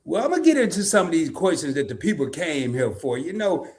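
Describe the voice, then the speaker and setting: Host, high-voiced man, animated and playful with the audience. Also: brisk. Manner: playful, slangy, addresses the audience. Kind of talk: casual interview chat.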